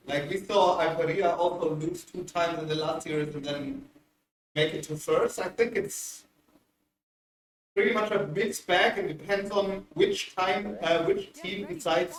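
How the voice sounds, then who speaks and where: Man, co-commentator, slightly high voice, roomy echo, off-mic sound. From Bavaria, Germany. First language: German. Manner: measured, analytical, easygoing. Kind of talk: live game commentary. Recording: roomy, distant mic with hiss.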